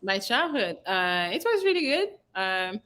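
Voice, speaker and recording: A woman's voice: mid-range voice, big pitch swings, a little slow, pushed and bright. A young woman from France chats on a live dating show. Her talk is direct, and she laughs easily between answers.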